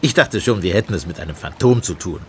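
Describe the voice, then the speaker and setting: An actor playing a sceptical, sarcastic police inspector with a gruff, commanding manner in a German radio play. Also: male voice, sits low, expressive, quick pace, a little hiss or hum. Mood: brisk, sardonic.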